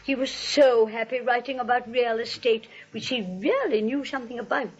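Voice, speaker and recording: A woman's voice: on the high side, moderate pace, breathy edge. A woman playing a sweet, chatty elderly spinster aunt in a comic radio play, gushing and politely hospitable. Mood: earnest, fussing. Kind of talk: radio play comedy acting. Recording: dull lo-fi radio broadcast.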